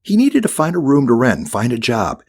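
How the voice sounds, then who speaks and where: A man's voice: mid-range voice, wildly swooping pitch, brisk, darkish tone, husky. A man reading a scripted children's audiobook as the narrator.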